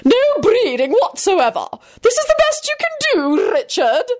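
A woman's voice: very high-pitched, huge pitch swings, normal speed, darkish tone, voice pushed up, heavily acted. A woman voicing a dramatic, jealous upper-class wife in an audiobook, strident, wailing and full of contempt. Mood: shrill contempt.

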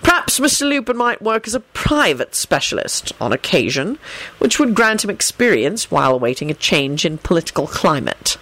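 Woman acting a low, unruffled, sardonic man. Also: big pitch swings, a bit bright, no echo, exaggerated voice. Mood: polite, stern. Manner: polite, measured, dry. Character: calm, sardonic, dignified male law officer.

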